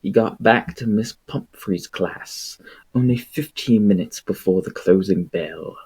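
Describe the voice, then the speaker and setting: Man, expressive audiobook narration, slow pace, mellow dark tone, husky, dramatic. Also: lowish voice, rough recording. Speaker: amateur story reader.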